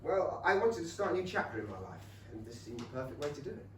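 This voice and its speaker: An actor playing a boyishly enthusiastic, rich young Regency gentleman with an English accent in a staged comedy reading. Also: a little high, sing-song, quick pace. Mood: polite, friendly. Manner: eager, gushing. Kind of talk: staged reading of a comic play. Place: small theatre stage. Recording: roomy theatre, distant mic, faint noise.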